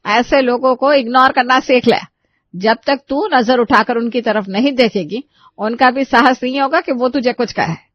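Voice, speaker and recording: A woman's voice: a little high, no room sound, close to an everyday voice. Woman acting indignant middle-aged mother, emphatic, scolding, protective, dry dull recording. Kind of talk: animated story dialogue.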